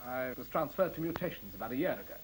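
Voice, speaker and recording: An actor playing a self-assured, wry middle-aged scientist in a science fiction radio play, talking in a matter-of-fact way. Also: male voice, mid-range voice, animated, fast talker, a little hiss or hum, lightly acted, rough recording. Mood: wry, confident.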